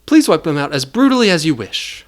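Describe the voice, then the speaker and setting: A man's voice: fairly high pitch, very animated, brisk, voice pushed up. A man voicing an officious, ruthless male handler of a hired assassin in an audio story, businesslike and scornful. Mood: contemptuous.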